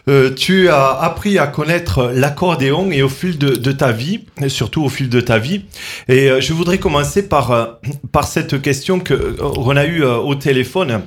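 A man's voice: medium pitch, moderate pace. Hesitant male radio host. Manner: hesitant, many fillers and restarts. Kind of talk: casual radio interview.

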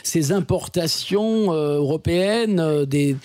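A man's voice: on the high side, measured pace, mellow, dry recording. A man hosting a radio interview, asking questions hesitantly with many fillers and restarts. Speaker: radio host.

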